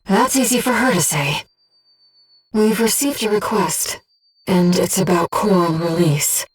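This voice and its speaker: A woman voicing a calm, devoted female mind-voice who guides a mech pilot in a video game, speaking in a measured, precise way. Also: lowish voice, husky, far from the mic, faint music behind, rough recording. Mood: calm, earnest. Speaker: mission support operator. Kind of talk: video game voice acting, mission operator dialogue.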